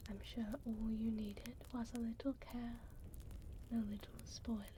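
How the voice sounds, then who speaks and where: A woman playing a gentle, regal vampire duchess in an ASMR roleplay, speaking softly and formally in a soothing whisper, close to the microphone. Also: a little high, little pitch movement, slight background noise, close to an everyday voice. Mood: soothing, doting. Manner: soft, soothing, formal and unhurried. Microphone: close binaural ASMR microphone.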